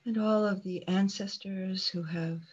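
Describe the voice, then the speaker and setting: A woman meditation teacher leading a guided meditation calmly and unhurriedly, leaving long pauses between short phrases. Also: medium pitch, slightly rough, dull audio.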